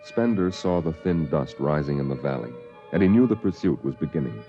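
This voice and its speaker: Deep radio drama narrator. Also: male voice, expressive. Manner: measured, descriptive storytelling. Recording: lo-fi old radio recording with music.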